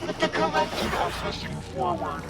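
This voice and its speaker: A woman's voice: high-pitched, huge pitch swings, normal speed, over loud music. A woman playing a desperate oracle in a video game, pleading urgently. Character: desperate female oracle pleading under siege. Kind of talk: video game cutscene acting.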